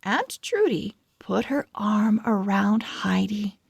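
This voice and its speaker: A woman reading a children's storybook aloud as host, with deliberate, expressive phrasing for young readers. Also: mid-range voice, gravelly, husky, dry recording, clean studio sound. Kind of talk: slow read-along storybook narration.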